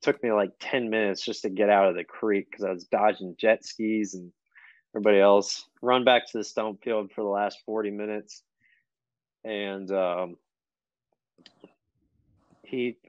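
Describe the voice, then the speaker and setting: A man with a fairly low voice chats as a podcast guest. He is laid-back and slangy and likes to repeat his phrases. The audio is dry and a little dull, like an online call. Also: steady tone, unhurried, long pauses, no room sound. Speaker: podcast guest, tournament angler. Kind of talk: casual chat.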